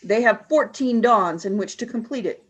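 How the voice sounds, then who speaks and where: A woman voicing a cold, commanding female alien leader in a fan radio play, giving flat, clipped orders. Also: on the high side, moderate pace, lightly acted.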